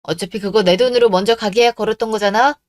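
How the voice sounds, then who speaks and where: A woman's voice: medium pitch, steady tone, fast talker, no room sound, lightly acted. A woman playing an indignant, firm young woman in a radio drama, scolding bluntly. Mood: angry, firm. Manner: blunt, scolding, rhetorical questions.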